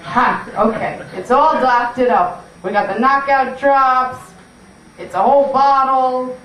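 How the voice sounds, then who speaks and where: Woman acting as tough gangster matriarch puppet, high voice, slow delivery, brash, menacing, roomy distant recording. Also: a bit bright. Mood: scheming. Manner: brash, slangy gangster talk. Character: tough-as-nails female gang boss puppet, bossy and menacing. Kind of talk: amateur puppet show acting. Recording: roomy, distant mic, faint noise.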